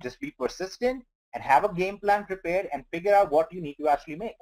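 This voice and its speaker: Man from india, non-native english speaker, webinar trainer, slightly high voice, earnest coaching. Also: expressive, fast talker, darkish tone, low-quality recording. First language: not English. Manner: earnest, coaching, breaks numbers down step by step. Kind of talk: motivational webinar talk.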